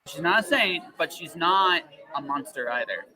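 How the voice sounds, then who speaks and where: A man's voice: a little high, lively intonation, moderate pace, a crowd in the background, low-quality recording. A man hosting a casual podcast conversation, talking emphatically and often repeating his words and phrases for stress. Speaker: podcast host. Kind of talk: casual interview chat.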